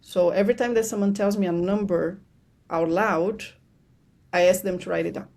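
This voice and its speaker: Podcast guest: non-native English-speaking woman, low gravelly fry, mellow tone, frank and upbeat. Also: steady tone, normal speed. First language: not English. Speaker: podcast guest, financial educator. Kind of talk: casual interview chat.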